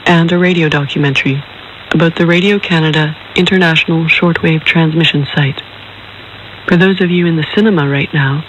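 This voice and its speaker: A man reading a scripted introduction as a documentary narrator, in a measured, explanatory manner. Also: on the high side, a bit bright, lo-fi sound. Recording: hissy, noisy shortwave radio reception.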